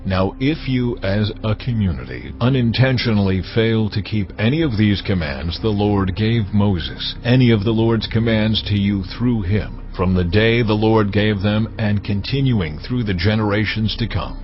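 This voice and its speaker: Man voicing a deep, commanding God. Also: lightly acted. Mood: solemn, instructive. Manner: measured, authoritative, deliberate. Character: God, solemn commanding male deity giving laws. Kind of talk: dramatised scripture reading. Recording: slightly dull audio with music behind. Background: background music.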